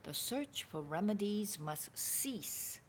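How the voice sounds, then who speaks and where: A woman informally reading a spiritual dialogue aloud as a teacher, with a deliberate, expressive and at times halting delivery. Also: medium pitch. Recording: background room noise.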